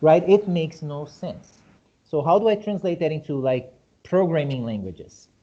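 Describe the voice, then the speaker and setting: A man's voice: a little slow, warm tone. A man from Brazil with a Brazilian Portuguese accent, whose first language is Portuguese, explaining points animatedly in a casual discussion and often asking rhetorical questions. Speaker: host. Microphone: video call. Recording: muffled low-fidelity video call audio.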